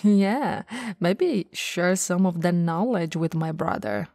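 Slow, low-pitched teasing older sister, acted by a woman. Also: a little mellow, dry recording, clean studio sound. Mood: friendly, impressed. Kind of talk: intimate ASMR roleplay. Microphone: close studio microphone.

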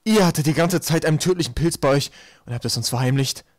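A man's voice: a little high, quick pace, no room sound, clean studio sound, pitched above the performer's own voice, close to an everyday voice. A man voicing an authoritative, self-assured island leader who speaks in an emphatic, insistent, indignant way. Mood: shocked, indignant.

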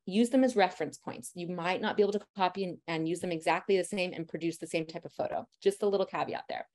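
A woman in her thirties, a family photographer, giving a lecture on posing in a lively, direct and encouraging way. She talks a lot and has a loud voice. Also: lowish voice, fast. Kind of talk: presentation lecture.

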